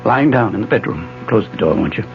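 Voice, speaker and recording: Obsessed elderly scientist, fervent and declamatory, wide-swinging gravelly voice, old radio drama sound. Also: male voice, on the low side, animated, a little fast. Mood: calm, intent. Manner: formal, declamatory, insistent. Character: obsessed elderly physics professor, intense, fervent, visionary. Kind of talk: 1970s radio drama acting. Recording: dull 1970s radio broadcast, faint music.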